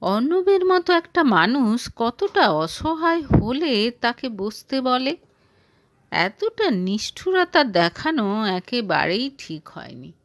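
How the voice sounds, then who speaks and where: A woman narrating a romantic audio story in an expressive, emotive, dramatic way. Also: a little high, takes it slowly, no room sound.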